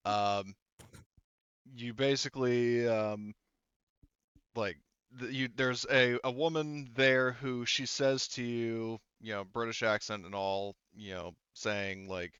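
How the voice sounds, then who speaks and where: Male game master, clean smooth voice, casual halting narration, dry slightly muffled recording. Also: medium pitch, a bit bright, dry recording. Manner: halting, conversational.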